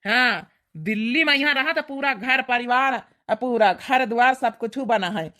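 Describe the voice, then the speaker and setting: A woman's voice: a little high, a little slow, sharp tone. A woman with an Awadhi accent voicing a trusting, affectionate middle-aged village father in a comic cartoon. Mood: fatherly. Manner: affectionate, earnest.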